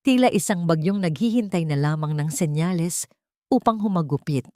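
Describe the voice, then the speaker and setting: A woman narrating a scripted dramatic audiobook with solemn, measured and deliberate phrasing. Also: on the low side, animated, dark tone, no room sound, clean studio sound.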